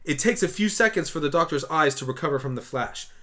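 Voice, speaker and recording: A man's voice: a little high, expressive, fast, pushed and bright. A man reading scripted story narration for a fan audio drama.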